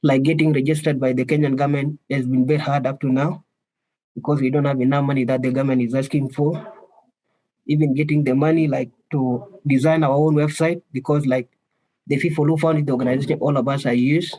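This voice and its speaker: A man's voice: mid-range voice, flat delivery, a little fast, dark tone, low-quality recording. A man from South Sudan asking a question on a webinar, earnest and hesitant, over a video call.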